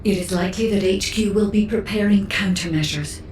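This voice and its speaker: A woman's voice: mid-range voice, a little mellow, natural voice. Echoing, formal female AI guide played by an actress. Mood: calm, formal. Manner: formal, measured. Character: composed female artificial intelligence guide, formal and dutiful. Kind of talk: scripted game dialogue. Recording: distant echoing voice, faint background noise.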